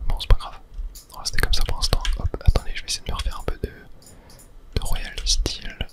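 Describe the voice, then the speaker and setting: Someone whispering softly and breathily, thinking aloud in a casual ASMR gameplay commentary. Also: brisk. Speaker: video game streamer narrating play.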